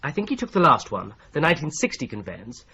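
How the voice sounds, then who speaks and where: An actor playing a methodical solicitor-detective who patiently lays out a fraud theory, in a radio drama. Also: male voice, a little high, huge pitch swings, fast, bright, forceful tone, husky, heavily acted. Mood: explaining. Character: Boone, shrewd young male solicitor-detective, patient explainer. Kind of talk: BBC-style radio drama acting. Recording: dull lo-fi radio play recording.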